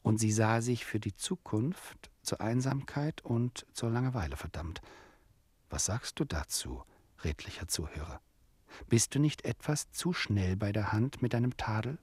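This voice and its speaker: Teasing radio-play narrator who argues with the listener. Lowish, husky male voice, faint music. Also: big pitch swings, unhurried, a little mellow, no echo, exaggerated voice. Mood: teasing, persuasive. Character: teasing, argumentative storyteller addressing his listener.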